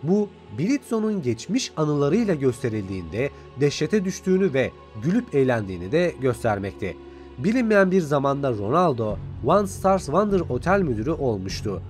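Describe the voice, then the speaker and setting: A man's voice: a little high, very animated, normal speed, darkish tone. A man reading scripted recap and review narration as a video narrator. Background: background music.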